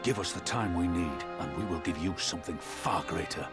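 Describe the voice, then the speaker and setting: A man voicing a deep, commanding old general. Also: loud music behind the voice, natural voice. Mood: reassuring. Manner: firm, rallying, measured. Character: battle-hardened male general, steady and commanding. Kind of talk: game cutscene voice acting. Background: orchestral game score.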